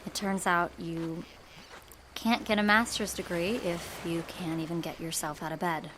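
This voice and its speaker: A woman voicing a guarded young woman in an audio drama, quiet and wry about her grief. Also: fairly low pitch, steady tone, moderate pace, noisy background, close to an everyday voice. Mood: wistful. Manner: dry, wry, subdued.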